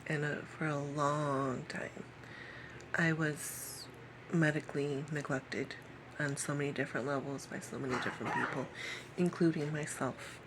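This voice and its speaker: A woman in her late thirties talking personally to camera in a halting way, repeating phrases and sometimes dropping to a whisper. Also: low-pitched, steady tone, background noise. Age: late 30s. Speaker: vlogger.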